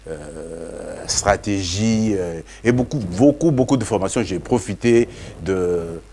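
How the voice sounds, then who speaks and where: Male interview guest, Congolese accent, deep register, explanatory and hesitant with repeated words, faintly noisy ambience. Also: animated, takes it slowly, mellow. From Democratic Republic of the Congo. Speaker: interview guest, parliamentary candidate.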